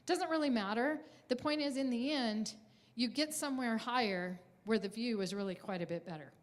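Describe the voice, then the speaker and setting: A woman's voice: on the high side, normal speed. A woman presenting a conference workshop, talking in an encouraging, motivational way to the room.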